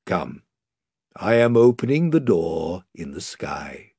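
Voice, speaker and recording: Brief: a man doing a majestic male lion, low and hushed, slow, grave and tender, breathy edge. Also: sing-song, dry recording, clean studio sound, heavily acted. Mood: gentle, grave. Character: huge wise gentle male lion.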